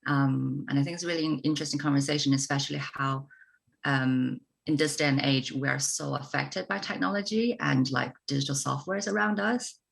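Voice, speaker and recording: A woman from China, an artist guest speaking in a thoughtful panel conversation with many pauses and ums. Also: extremely low pitch, gravelly.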